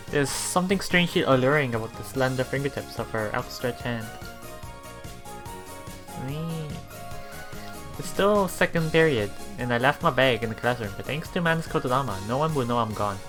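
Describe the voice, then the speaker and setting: A man with a bright, forward voice at a middle pitch hosts a visual novel let's play. He reads the text aloud and adds hesitant, musing commentary, with music playing behind him. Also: animated, normal speed, long pauses. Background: game music.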